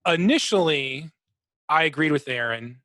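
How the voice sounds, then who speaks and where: A man's voice: on the high side, animated, measured pace, bright, forceful tone, no echo. A man co-hosting a podcast, casually analysing a video game and often searching for his words. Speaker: podcast co-host.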